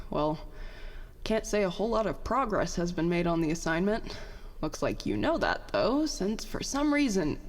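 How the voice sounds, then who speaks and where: Woman as an anxious, expressive young petty officer. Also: mid-range voice. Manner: halting, muttering, self-conscious. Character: anxious, self-deprecating young female petty officer, rambling and sarcastic. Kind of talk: science fiction audio drama. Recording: long cave-like reverb.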